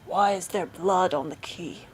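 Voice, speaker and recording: A woman's voice: on the low side, measured pace, a little mellow, slight background noise, lightly acted. A woman voicing a merciless, menacing husband in a storybook tale, with stern, commanding threats. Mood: cold menace. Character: menacing, merciless murderous husband with a great saber. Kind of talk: storybook character voice.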